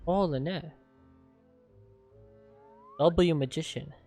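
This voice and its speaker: A man's voice: fairly high pitch, slow, a little mellow, soft background music. A man reacting casually to a game as a streamer, joking and slangy. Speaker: gaming streamer.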